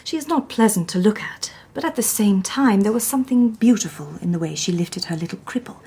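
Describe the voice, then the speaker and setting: A woman's voice: mid-range voice, animated, a little fast, warm tone, breathy edge. A shrewd Victorian lady detective. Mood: calm. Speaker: lady detective narrating and speaking. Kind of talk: radio drama narration and dialogue.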